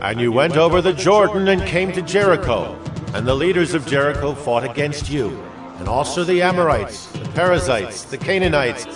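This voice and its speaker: A man's voice: a little high, animated, normal speed, distant mic, close to an everyday voice. Solemn aged leader played by a man, music behind. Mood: proclaiming. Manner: solemn, declamatory, emphatic. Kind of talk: dramatized scripture oratory.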